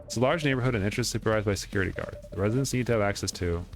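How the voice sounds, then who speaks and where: A man's voice: on the low side, racing, soft background music. A man reading video game dialogue aloud as he plays, stumbling now and then and correcting himself. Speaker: let's play streamer.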